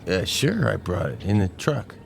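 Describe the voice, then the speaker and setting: An actor playing a casual, teasing twenty-two-year-old worker in a radio drama. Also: male voice, sits low, very animated, moderate pace, creaky, background noise, full character voice. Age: 20s. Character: young male worker, twenty-two, easygoing.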